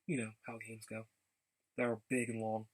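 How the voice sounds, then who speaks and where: A man giving a casual, rambling vlog update in a gravelly voice, haltingly and often repeating his phrases. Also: lowish voice, level tone. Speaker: video blogger.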